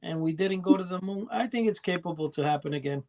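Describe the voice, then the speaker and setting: A man's voice: high-pitched, fast talker, warm tone. A middle-aged or older man co-hosting a podcast, talking in a rambling, conversational way. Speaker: podcast co-host. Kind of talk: casual panel chat.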